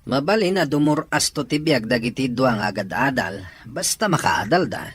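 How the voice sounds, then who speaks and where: A medium-pitched voice. A man plays a long-suffering young farmer brother in an audio drama, earnest even when he protests. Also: moderate pace, breathy edge. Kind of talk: family radio-drama acting.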